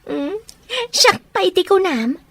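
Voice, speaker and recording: Woman playing a squeaky-high, nosy best friend. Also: expressive, unhurried, dry recording, heavily acted. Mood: nosy, teasing. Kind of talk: Ilocano audio drama acting.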